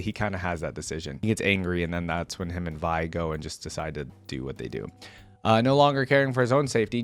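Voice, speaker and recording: A man reading a story aloud for a reaction video, breaking off into informal, wry asides. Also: on the low side. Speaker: gaming reaction content creator.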